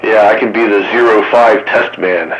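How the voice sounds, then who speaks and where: A man's voice: on the low side, moderate pace, dull audio. Man chatting on CB, faint hiss. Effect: CB radio transmission. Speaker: radio hobbyist on a CB net. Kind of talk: casual radio chat. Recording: light CB radio background hiss.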